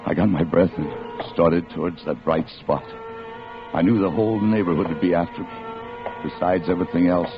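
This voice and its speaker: Wounded gunman on the run: low, hard-boiled, halting, pained. Vintage radio sound over music. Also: steady tone. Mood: pained, grim. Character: wounded desperate fugitive gunman telling his own flight. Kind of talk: 1940s radio drama acting, first-person crime narration. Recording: muffled vintage radio broadcast with music behind.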